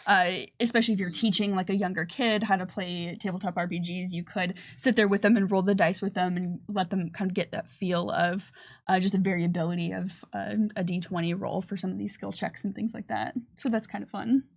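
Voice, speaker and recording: A woman's voice: medium pitch, fairly even intonation, fairly quick, vocal fry. Female game developer, middle-range voice, conversational and explanatory livestream presenting. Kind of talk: casual explanatory panel chat.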